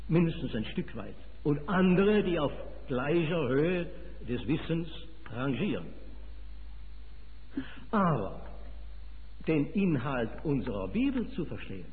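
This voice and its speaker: Swiss German man preaching, slow and lively. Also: fairly high pitch. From Switzerland. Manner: emphatic, rising and falling delivery. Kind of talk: sermon. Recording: phone-like, reverberant hall.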